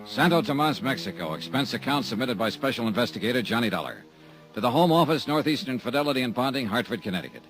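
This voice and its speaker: An actor playing a sardonic, hard-boiled insurance investigator in a 1950s radio drama, clipped and wisecracking. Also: male voice, mid-range voice, natural voice, low-quality recording. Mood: narrating. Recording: old radio broadcast recording.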